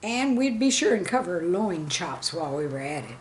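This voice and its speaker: A woman reading scripted stories and verse as a channel host, with a measured, deliberate delivery. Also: lowish voice, sing-song, a little hiss or hum. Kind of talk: scripted storytelling and poem reading.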